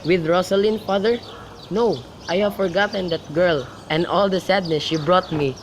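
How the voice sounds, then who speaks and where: Lovestruck youth, played by a man, slightly high-voiced. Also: normal speed, close to an everyday voice. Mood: earnest. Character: lovestruck, impatient young man, earnest and pleading. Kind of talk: student radio drama, recited modern Shakespeare. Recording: faint outdoor noise with birds.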